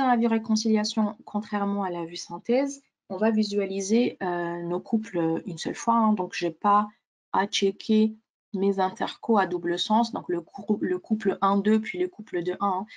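A woman giving a webinar software demonstration, explaining step by step as the presenter. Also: mid-range voice, no room sound.

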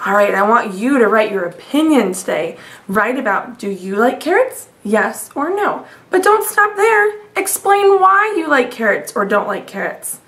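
A woman's voice: fairly high pitch, very animated, fairly bright tone. A woman in her thirties reading a picture book aloud expressively, speaking as a teacher to young children. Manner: slow, deliberate, addressing young children. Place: small home room, indoors.

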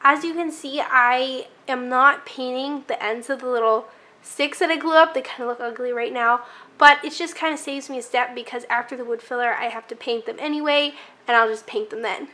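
A woman's voice: sits high, moderate pace, sharp tone. A young woman casually narrating a craft project on her home channel, chatty and upbeat with light laughs.

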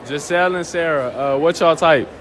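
A man's voice: fairly high pitch, moderate pace. A young man running a casual street interview, handheld mic in a shopping mall, easygoing and slangy, echoing answers back as questions. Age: young adult.

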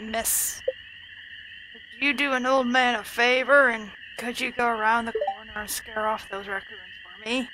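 A woman reading a grumpy old man's lines from a farming video game, putting on a voice that stays high. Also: noisy background. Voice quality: high-pitched, gravelly. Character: gruff grumpy old man neighbor. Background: game music.

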